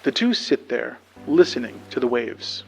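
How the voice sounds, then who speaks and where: A man's voice: mid-range voice, very animated, moderate pace, vocal fry, a little mellow, slight background noise. A man working as a podcast host and narrator, talking in a plain, informal storytelling way. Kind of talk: slow narration and casual sign-off. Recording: faint music behind the voice.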